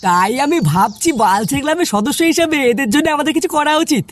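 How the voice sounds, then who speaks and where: Woman voicing high, fast bossy cartoon club leader. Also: animated, no echo. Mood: scolding. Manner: commanding, explanatory. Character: bossy village club leader, earnest, rough-tongued young male. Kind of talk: cartoon dialogue.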